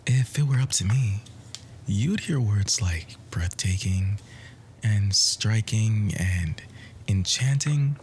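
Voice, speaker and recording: A man playing a charming, bookish nerd in an ASMR romance roleplay, flirting in formal, poetic phrases with a soft, breathy, often near-whispered voice. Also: on the low side, animated, slow pace, a little hiss or hum. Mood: smitten, flirtatious. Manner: formal, wordy, gently hesitant flirting.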